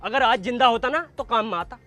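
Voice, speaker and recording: A man's voice: extremely high pitch, brisk, sharp tone, dry recording. A man playing a plain-spoken, reproachful sidekick to a village candidate in a Hindi comedy sketch.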